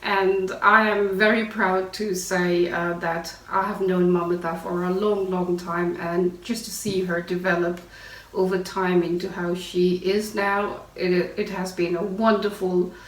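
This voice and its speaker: A woman's voice: fairly low pitch, flat delivery, a little slow, hardly any pauses, a bit bright. Woman host in an echoey room. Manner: friendly, filler-heavy questioning. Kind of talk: casual interview. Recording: echoey room, mic at a distance.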